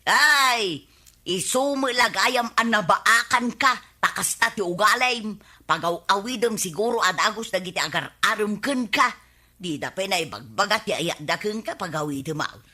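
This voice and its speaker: A woman's voice: medium pitch, lively intonation, normal speed, bright, forceful tone. A woman with an Ilocano Filipino accent playing a nagging, fretful village wife in a radio comedy drama.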